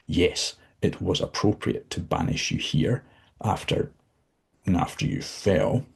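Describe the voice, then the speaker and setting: A man's voice: deep voice, takes it slowly, gravelly, breathy edge, heavily acted. A man voicing the devil as a formal, condescending and menacing figure. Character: the devil, suave, menacing, all-knowing male.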